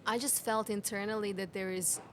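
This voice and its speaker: A woman in her thirties speaks English with a Serbian accent, her second language after Serbian. She talks at a middle pitch in an earnest, explaining way, stacking up rhetorical questions and making small grammar slips. Faint background noise sits under her voice in a cafe. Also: fairly even intonation, a little fast. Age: 30s. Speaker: guest, women's health mentor. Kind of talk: conversational podcast interview.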